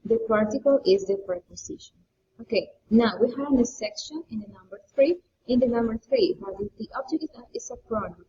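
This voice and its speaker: A woman's voice: fairly high pitch, dark tone, a little hiss or hum. A young woman teaching an English grammar lesson, explaining and repeating key points on a muffled webcam recording from a distance. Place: indoor room, screencast with webcam.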